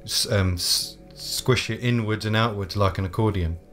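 A man's voice: lowish voice, moderate pace, a bit bright, faint music behind. A man chatting casually as a player in a tabletop game.

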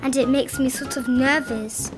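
A young woman reading rhyming children's picture books aloud in an expressive, playful storytelling style. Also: high-pitched, moderate pace, loud music behind the voice. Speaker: children's picture book reader.

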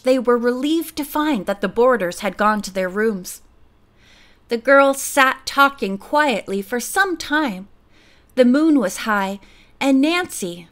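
A woman narrating a vintage girls' mystery novel in a steady, measured storybook reading. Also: a little high. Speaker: audiobook narrator.

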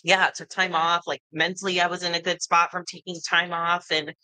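A woman's voice: on the low side, flat delivery, pushed and bright, no echo. A woman in her thirties co-hosting a casual podcast, upbeat and emphatic, recorded indoors on a tabletop mic. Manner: upbeat, emphatic, quick agreeing interjections. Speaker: podcast co-host, competitor and coach. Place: indoor living room.

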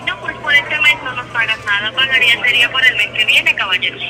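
A woman's voice: a little high, fast, low-quality recording. A polite, hesitant woman making a phone sales call, heard through a phone speaker. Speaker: telemarketer for a phone company. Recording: phone on speaker, loud music behind.

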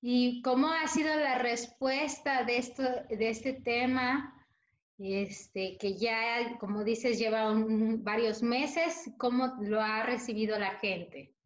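A woman's voice: a little high, steady tone, takes it slowly. A young woman journalist hosting an entertainment interview, friendly and asking questions with fillers over a video call. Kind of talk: radio news interview. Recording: echoey, dull room with faint noise.

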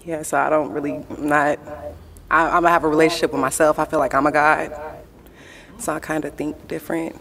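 Woman, thirties, American, low voice, halting casual answers, clear chatter in the background. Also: little pitch movement. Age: 30s. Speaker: dating show contestant. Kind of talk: casual on-camera interview answers.

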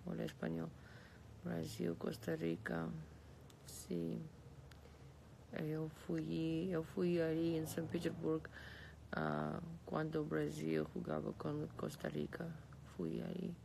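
Relaxed Russian woman chatting on a live stream. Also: sits low, slow, gravelly, slight background noise, low-quality recording. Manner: relaxed, lying back, reading comments aloud and answering. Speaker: livestream host answering viewer comments. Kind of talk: casual live chat, switching between languages. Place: bedroom, lying against pillows indoors. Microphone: phone held close.

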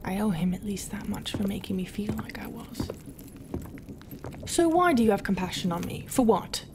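A woman playing a proud, brooding, non-human pirate captain in a roleplay, guarded and often dropping into a half-whisper with hesitant pauses. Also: mid-range voice, expressive, background noise, lightly acted. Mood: brooding, menacing. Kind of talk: roleplay ASMR acting.

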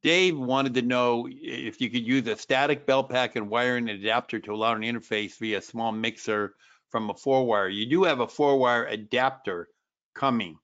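A man's voice: medium pitch, moderate pace, a bit bright, lo-fi sound. A man co-hosting a webinar, casually asking and talking about technical matters over a video call. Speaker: webinar co-host.

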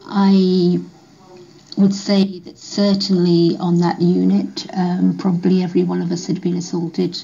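A British woman, a nurse, giving measured, slightly hesitant answers in a radio interview, dull through a radio speaker. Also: lowish voice, completely flat delivery, vocal fry, dark tone.

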